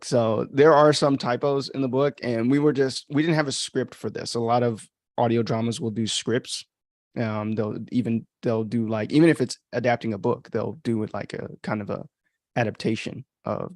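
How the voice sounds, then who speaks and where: A man who is an author and host, giving informal, halting commentary on his own book as he thinks aloud. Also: medium pitch, darkish tone, no room sound, studio quality.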